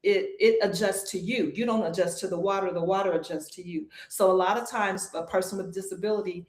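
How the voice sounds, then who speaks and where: A woman who teaches swimming, answering interview questions in a calm, reassuring way and repeating her key points. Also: medium pitch, a little fast. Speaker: swim instructor. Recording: echoey room.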